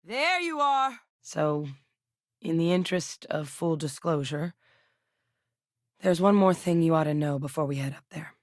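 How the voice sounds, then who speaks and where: An actress as a dedicated woman scientist from a science fiction video game. Her voice sits low and is recorded dry and close. She speaks with measured, articulate care and a grave, earnest temper. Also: fairly even intonation, a little mellow, no room sound, lightly acted. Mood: serious, matter-of-fact. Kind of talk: video game character dialogue.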